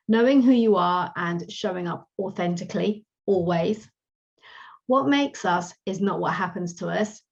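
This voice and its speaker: A woman hosting a podcast as a career coach, reading a polished upbeat introduction and then interviewing her guest. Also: mid-range voice. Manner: polished, upbeat promotional delivery. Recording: dull lo-fi sound.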